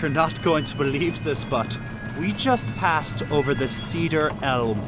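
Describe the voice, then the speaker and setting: A man's voice: on the high side, measured pace, close to an everyday voice. An actor playing an observant, technically minded boat crewman in an audio drama, with clipped, dramatic phrasing and a wry streak. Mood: amazed, wry. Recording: loud dramatic music behind the voice.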